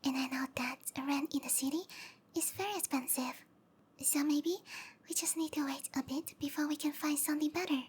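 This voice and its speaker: A woman voicing a sweet, obsessive snake-girl lover in a breathy, cooing whisper for an intimate ASMR roleplay. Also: sits high, fairly even intonation, fairly quick, slight background noise, close to an everyday voice. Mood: clingy, pleading. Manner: cooing, tender, possessive.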